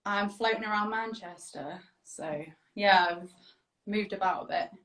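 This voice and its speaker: A woman's voice: mid-range voice, a little slow, fairly bright tone, roomy sound. A woman, a guest on a casual video-call interview, giggly and apologetic, swearing freely. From Wales. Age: 20s.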